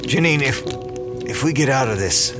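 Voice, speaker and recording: An actor playing a steady, reassuring small-town sheriff in an audio drama, earnest and folksy. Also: male voice, mid-range voice, normal speed, lightly acted. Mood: tender, hesitant. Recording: loud music behind the voice.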